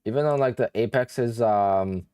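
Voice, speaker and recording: A man's voice: mid-range voice, normal speed, dark tone, smooth, no echo, studio quality. A young man with a Polish-influenced accent, chatting offhand on a live stream while he trades and answers questions from viewers. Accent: Polish-influenced English. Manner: offhand, thinking aloud, answers chat questions. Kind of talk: casual livestream chat while trading.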